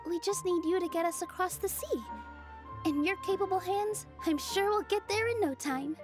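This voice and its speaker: Gentle, high-voiced young maiden. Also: female voice, moderate pace, over music. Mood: reassuring. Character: gentle, gracious young female dancer playing forest fairy. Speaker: game character voice. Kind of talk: animated game voice acting.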